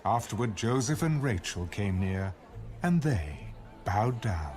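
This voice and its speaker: A man narrating dramatized scripture with measured storytelling. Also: on the low side, big pitch swings, darkish tone. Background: music.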